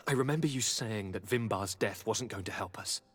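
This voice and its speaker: A man's voice: fairly low pitch, unhurried, a bit bright. An actor playing a charming, eager young nobleman governor in a video game, speaking in a courtly, theatrical and affectionate way. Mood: fascinated, eager. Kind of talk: dramatic video game voice acting. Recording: reverberant hall, music underneath.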